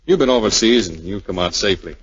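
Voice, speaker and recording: An actor playing an earnest, hesitant young lieutenant in a 1940s radio drama. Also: male voice, low-pitched, animated, fast, husky. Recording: dull 1940s radio recording.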